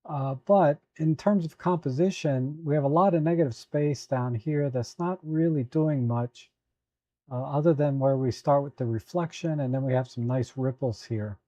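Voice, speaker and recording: A hoarse man hosting a live photo editing tutorial, talking at an easy, halting pace with many fillers while answering viewers. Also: medium pitch, mellow, dry recording. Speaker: photo editing livestream host.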